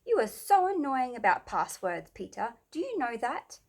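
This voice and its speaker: Storybook schoolgirl played by a woman narrator: excitable, bossy, emphatic, voice lifted high. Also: moderate pace. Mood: excited, impatient. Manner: emphatic, dramatic.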